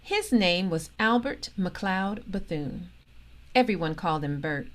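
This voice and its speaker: A woman reading a children's storybook aloud in an expressive, deliberate way, pausing for emphasis. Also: on the low side. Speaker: storybook reader, organisation president.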